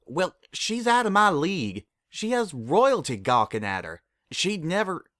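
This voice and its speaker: A man's voice: high-pitched, very animated, unhurried, fairly bright tone, no room sound, studio quality, pitched above the performer's own voice, exaggerated voice. A man voicing a stubborn, anxious female farm pony with folksy country phrasing. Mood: flustered, defiant.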